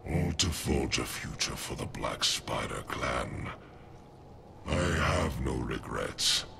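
A man voicing a proud, solemn villainous ninja lord in a game cutscene, with a deep gravelly voice and slow, weighty delivery. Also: animated, background noise, heavily acted. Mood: solemn, resigned. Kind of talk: dramatic video game cutscene acting.

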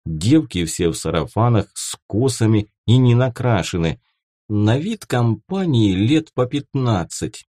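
A man narrating first-person fiction from a script as an audiobook, with a dramatic, expressive delivery. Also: fairly low pitch, unhurried, dry recording, clean studio sound.

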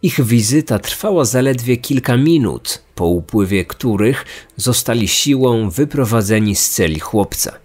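A man delivering serious, measured scripted true-crime narration as a podcast host. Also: mid-range voice, animated, darkish tone, slightly rough. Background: music.

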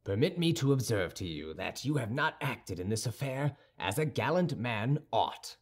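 A man voicing a haughty, sardonic young musketeer in an audiobook, formal, measured and condescending. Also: medium pitch, big pitch swings. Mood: haughty, reproachful. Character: cool, haughty young musketeer with churchly airs, dryly sardonic. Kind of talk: audiobook character dialogue.

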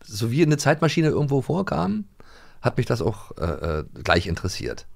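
A man's voice: mid-range voice, big pitch swings, moderate pace, gravelly. A man in his thirties chatting casually as a podcast co-host. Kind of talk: casual chat.

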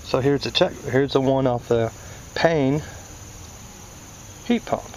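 A man's voice: mid-range voice, slow, darkish tone. A man explaining parts hands-on as a technician, in a casual, folksy way, thinking aloud as he goes. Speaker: HVAC technician demonstrating parts. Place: outdoors in a yard by a scrap pile. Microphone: handheld camera held by the speaker. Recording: noisy outdoor recording with insects.

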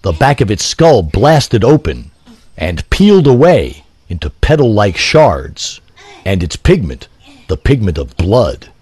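Husky, very animated male storyteller. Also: lowish voice. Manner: grandiose, literary, dramatic. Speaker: narrator. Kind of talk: theatrical scripted story narration.

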